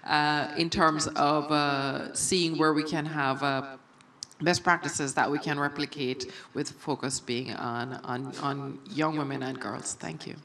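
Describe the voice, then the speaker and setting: A woman from Jamaica introducing herself on a conference panel, with many pauses and fillers. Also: deep voice, steady tone, a bit bright. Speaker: NGO representative. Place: conference room. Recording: distant mic in conference room.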